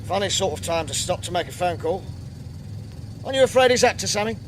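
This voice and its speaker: An actor playing a chatty, nosy working-class cab driver with a London accent in a radio drama. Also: male voice, high-pitched, animated, fast talker, noisy background. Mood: curious, uneasy. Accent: British, London. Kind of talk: British radio drama acting.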